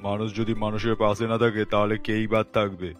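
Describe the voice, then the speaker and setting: A man's voice: fairly low pitch, flat delivery, moderate pace, faint music behind, close to an everyday voice. A man voicing a kindly, dutiful doctor in a moral cartoon story, speaking in an earnest, advising way.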